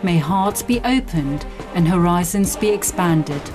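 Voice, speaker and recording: A woman giving gentle, devotional scripted narration. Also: lowish voice, expressive, unhurried. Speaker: program narrator. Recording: music bed under voice.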